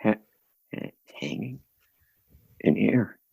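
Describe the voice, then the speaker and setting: A man playing a shock-struck, vacant man in a 1940s-style radio drama, with a husky, creaky voice that stammers and trails off. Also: lowish voice, lively intonation, slow, no echo, dull audio, full character voice. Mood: dazed. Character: dazed, shock-struck man, mind gone blank.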